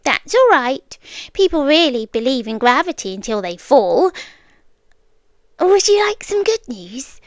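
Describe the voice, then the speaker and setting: A British woman playing an obsessive, possessive young girlfriend in an intimate ASMR roleplay, soft and deliberate, sweetly menacing, slipping into whispers. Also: high-pitched, very animated, no room sound, studio quality. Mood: devoted, coaxing. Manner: slow, deliberate, coaxing, with pauses and whispered words. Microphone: close, dry microphone.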